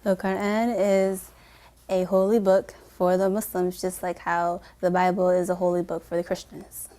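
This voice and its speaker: A fourteen-year-old girl from New Jersey speaking slowly and carefully in an interview. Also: on the low side, flat delivery, mellow, a little hiss or hum. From Camden, New Jersey. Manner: slow, careful.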